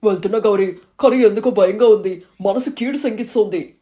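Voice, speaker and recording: Mellow, guarded village fisherman, voiced by a woman. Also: mid-range voice, brisk, natural voice. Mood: uneasy. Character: humble, cautious village fisherman, husband. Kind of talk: animated moral story acting.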